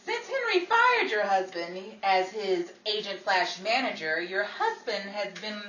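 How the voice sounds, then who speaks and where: A woman playing a witty, exasperated Hollywood actress in a 1940s-style radio comedy, theatrical and quick with dry comebacks. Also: medium pitch, very animated, bright, forceful tone. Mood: brisk, reassuring. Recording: roomy, distant, noisy, crowd sound behind.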